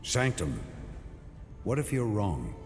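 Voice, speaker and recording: A man playing a gruff, stern warrior commander in a video game, speaking in curt, commanding phrases. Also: fairly low pitch, very animated, slow pace, exaggerated voice. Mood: questioning. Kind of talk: dramatic video game acting. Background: music.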